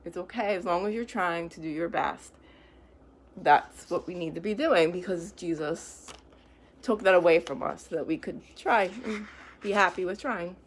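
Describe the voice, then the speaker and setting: A woman reading picture books aloud to young children, pausing to explain things in simple, reassuring words. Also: mid-range voice.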